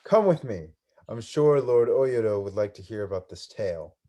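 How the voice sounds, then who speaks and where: A man's voice: on the low side, animated, moderate pace, mellow. A man playing a stern young ronin in a dramatic reading, speaking in a formal, commanding manner. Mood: stern, suspicious. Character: stern young male ronin guarding monastery nuns.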